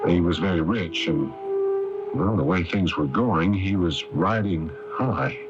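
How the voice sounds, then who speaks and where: An actor playing a troubled, earnest son of an archaeologist in an early 1980s radio mystery, in a hesitant conversational manner. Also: male voice, deep voice. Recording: muffled lo-fi 1981 AM radio, music behind.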